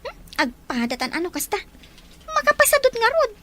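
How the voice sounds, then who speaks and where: A woman as a very high, whiny schoolgirl. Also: wildly swooping pitch, brisk, a bit bright, heavily acted. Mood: sulky. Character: lazy, whining teenage schoolgirl daughter. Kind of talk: Filipino radio drama acting. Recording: background noise and ambience.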